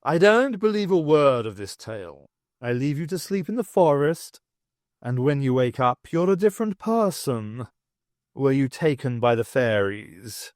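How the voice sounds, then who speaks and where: A man's voice: mid-range voice, very animated, unhurried, a little mellow, no echo, clean studio sound. Man voicing an anxious, formal talking tomcat. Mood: insistent. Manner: formal, old-fashioned phrasing. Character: anxious, baffled talking male cat companion.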